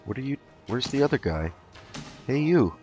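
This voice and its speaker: A man's voice: mid-range voice, big pitch swings, moderate pace, warm tone, faint music behind. A man hosting a casual let's play, thinking aloud hesitantly as he goes. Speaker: gaming video host.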